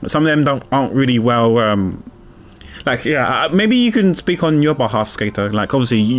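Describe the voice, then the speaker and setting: A man, streaming a game and rambling in casual chat with many restarts. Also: medium pitch.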